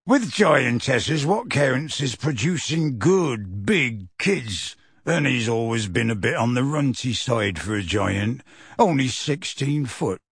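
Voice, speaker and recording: A man voicing a huge, kindly half-giant for an audiobook, emotional and pleading, with halting, rustic speech. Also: medium pitch, animated, breathy edge, no echo, studio quality, full character voice. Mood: anxious, confiding. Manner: earnest, halting, rustic dialect grammar. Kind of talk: audiobook character voice.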